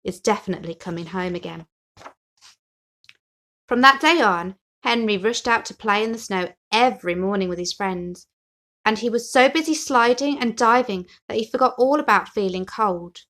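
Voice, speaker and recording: A woman reading a children's picture book aloud as an expressive storyteller. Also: on the high side, normal speed. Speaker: story reader.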